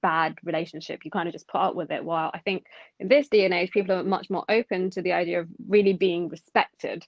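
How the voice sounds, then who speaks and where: A woman's voice: fairly low pitch, steady tone, quick pace, vocal fry, dry recording. A young woman from London co-hosting a podcast, thinking aloud in casual chat with lots of fillers. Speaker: podcast co-host. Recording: dull dry lo-fi sound.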